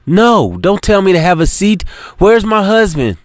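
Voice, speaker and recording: Brief: man putting on a heartbroken young wife, shrill and tearful, voice pushed very high. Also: big pitch swings, measured pace, dry recording, studio quality. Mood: disbelieving. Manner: shrill, sobbing and screaming.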